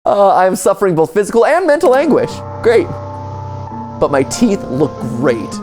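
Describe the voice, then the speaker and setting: A man's voice: high-pitched, wildly swooping pitch, moderate pace, darkish tone. A man doing casual reaction commentary, reading on-screen text aloud and adding sarcastic, joking asides and exclamations. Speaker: reaction video host. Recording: music behind the voice.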